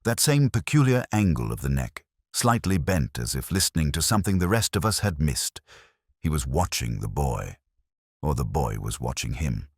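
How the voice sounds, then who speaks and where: A man playing a brooding, erudite English detective who narrates a mystery in measured, introspective, literary phrases with a husky voice. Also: rumbling low, very animated, dry recording, studio quality, heavily acted. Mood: wistful, suspicious. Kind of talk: scripted first-person mystery narration.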